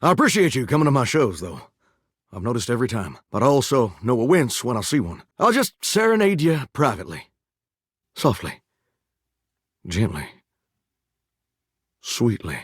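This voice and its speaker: An actor playing a vain, folksy singing shower in a video game, with a theatrical Southern drawl and country sayings. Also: male voice, medium pitch, huge pitch swings, fast, slightly rough, dry recording, clean studio sound. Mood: wistful. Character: flamboyant, folksy singing shower, vain would-be showbiz star. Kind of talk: animated video game character acting with bursts of song.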